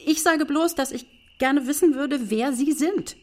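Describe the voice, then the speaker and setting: A woman's voice: sits high, measured pace, darkish tone. A woman acting a middle-aged wife and mother in a radio play, earnest, pedantic and easily put on the defensive.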